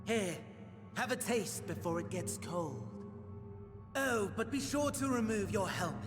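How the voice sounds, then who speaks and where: An actor playing a suave, mocking aristocratic villain in a video game, with a theatrical, cruelly courteous delivery. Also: male voice, high voice, expressive, a little slow, over music. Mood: mock courtesy. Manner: theatrical, genteel, mock-polite delivery. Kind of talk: scripted video game acting.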